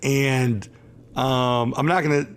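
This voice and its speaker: Hoarse, rambling middle-aged man host. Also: medium pitch, fairly even intonation, slow pace. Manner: rambling, emphatic, self-assured. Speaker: attorney and show host. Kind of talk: casual radio talk show chat.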